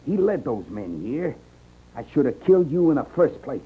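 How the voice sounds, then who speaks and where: A man playing a desperate cornered westerner accused of horse stealing, with a rough rustic drawl, in a 1950s radio western. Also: mid-range voice, very animated, moderate pace. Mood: threatening. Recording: noisy muffled 1950s radio recording.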